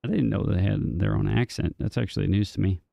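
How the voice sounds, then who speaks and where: A man's voice: on the low side, fairly even intonation, fast, gravelly, mellow, no room sound, clean studio sound. A man chatting casually with his viewers during a live game stream, rambling with fillers and restarts. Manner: rambling, answers chat with many ums and restarts. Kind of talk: casual chat with viewers while playing.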